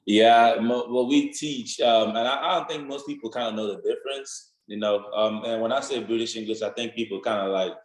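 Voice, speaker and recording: A man's voice: medium pitch, a little fast, few pauses, roomy sound, low-quality recording. A young man with a Nigerian accent, answering interview questions in an earnest, rambling way, often adding "you know what I'm saying". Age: young adult. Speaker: football player guest.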